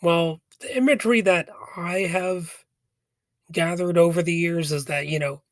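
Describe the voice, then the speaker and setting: Male podcast host, raspy from a cold, slow and rambling, dry close sound. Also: fairly high pitch, dry recording. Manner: rambling, hesitant, jokey asides. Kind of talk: casual commentary on a philosophy text.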